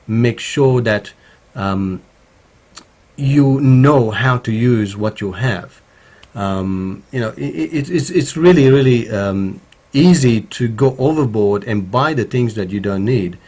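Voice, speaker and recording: A man with a slightly croaky voice hosting a casual live stream from his home studio, talking slowly with many pauses and fillers. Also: mid-range voice, lively intonation, darkish tone. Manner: slow and halting, many fillers. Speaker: home studio streamer answering viewer questions.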